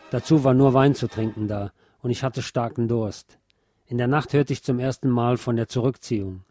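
Male narrator for literary documentary reading; mid pitch, dark soft tone, dry studio sound. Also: no room sound. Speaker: narrator reading a historical letter and credits.

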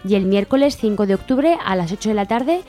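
Female radio co-host, medium pitch, event listings, faint music bed. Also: expressive, fairly quick, warm tone.

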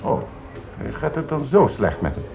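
A man's voice: mid-range voice, very animated, unhurried, vocal fry, a little mellow. An actor playing an affable middle-aged father in a radio drama. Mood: concerned, accepting. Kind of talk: naturalistic radio drama acting. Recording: muffled radio play, dining room bustle.

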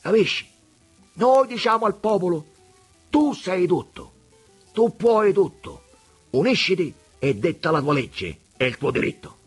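A man reading aloud and voicing a hot-headed former sacristan turned agitator who makes fervent, loud speeches. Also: sits high, animated, unhurried, breathy edge, faint music behind, pitched above the performer's own voice, exaggerated voice.

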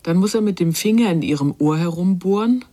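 A woman playing the narrator of a literary radio drama, telling a little girl's story in measured phrases. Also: lowish voice, animated, warm tone. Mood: tender. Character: female narrator recounting a little girl's longings.

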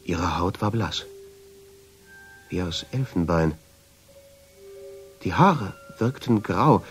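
Radio drama lead: uneasy, haunted narrator, deep and husky, brooding, with a widely moving melody. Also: male voice, animated, takes it slowly, soft background music. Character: haunted, uneasy man recounting his obsession with a portrait. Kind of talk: radio drama first-person narration and dialogue.

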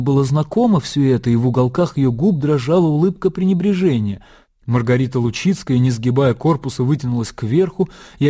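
A man's voice: mid-range voice, warm tone. A man narrating a literary radio play in a composed storytelling manner.

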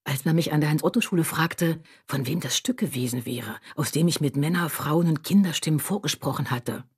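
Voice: mid-range voice, a little fast, slightly rough. An actor delivering an intimate confessional monologue about a lonely childhood, in a radio drama.

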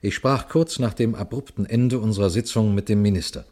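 An actor playing a sober, watchful police detective, telling his case in a measured, formal radio crime drama style. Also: lowish voice, dark tone, close to an everyday voice. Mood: tense, observant. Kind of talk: radio crime drama, suspenseful first-person narration and dialogue.

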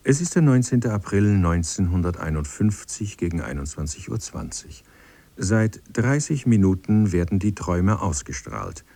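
A man with a deep, dark, husky voice presents a scripted radio feature. The sound is dry and close. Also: expressive, moderate pace, no room sound. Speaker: narrator.

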